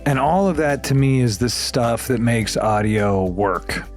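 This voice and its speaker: A man hosts a casual podcast discussion at a middling pitch. He muses aloud and lands his points with emphasis, in a conversational way. Faint music is present in the recording. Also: lively intonation, a little slow. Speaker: podcast host.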